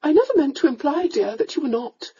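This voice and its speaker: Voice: sits high, expressive, brisk, mellow, voice pushed up. A narrator voicing a meek, gushing aristocratic mother, a countess, who frets and apologizes, in a slightly muffled recording. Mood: fretful.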